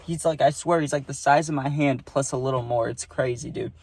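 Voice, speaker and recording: A man of twenty talking straight to camera, telling a story in a rambling, excitable way and often checking in with "right?" and "dude". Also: medium pitch, a little fast, dry recording. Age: 20s. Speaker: vlogger. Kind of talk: casual storytime monologue.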